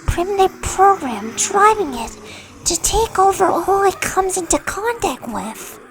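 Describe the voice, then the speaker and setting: A woman's voice: extremely high pitch, sing-song, a little slow, a little hiss or hum, full character voice. A woman voicing a brainy, earnest young boy fox who explains things in a cartoon audio drama. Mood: awed explaining.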